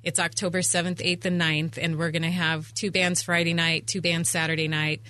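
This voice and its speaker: Bright-voiced middle-aged woman, chatty interview guest. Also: on the low side, little pitch movement, fairly quick. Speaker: interview guest, event venue owner. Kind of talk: chatty radio interview answers. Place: radio studio. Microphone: studio microphone on boom arm.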